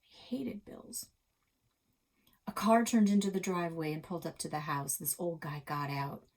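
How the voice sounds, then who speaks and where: A woman reading a children's story aloud with dramatic, expressive delivery, as a library reader. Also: low voice, normal speed.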